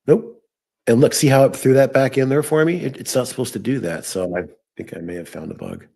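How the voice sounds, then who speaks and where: A man hosting a live trading show, thinking aloud as he works, his voice hoarse and fading. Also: mid-range voice, brisk, dark tone. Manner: thinking aloud while working on screen.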